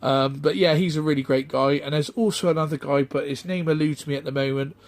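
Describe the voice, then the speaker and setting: A middle-aged man, chatting off the cuff in a rambling, halting way full of ums and restarts. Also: a little high, steady tone. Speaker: retro game collector vlogger. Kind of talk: casual off-the-cuff vlog chat.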